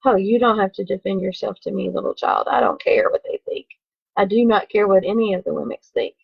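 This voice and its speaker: A woman voicing a gentle, kindly male wood carver who speaks softly, slowly and reassuringly. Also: medium pitch, very animated, creaky, slightly rough, no echo, dull audio, heavily acted. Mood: tender. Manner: soft, slow, reassuring.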